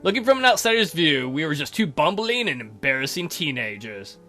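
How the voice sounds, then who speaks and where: Male game host, slightly high, very bright voice, narrating a romance game with mocking commentary. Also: big pitch swings, brisk, faint music behind. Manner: crude, mocking, jokey. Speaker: let's play host. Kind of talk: reading a visual novel aloud with joking asides.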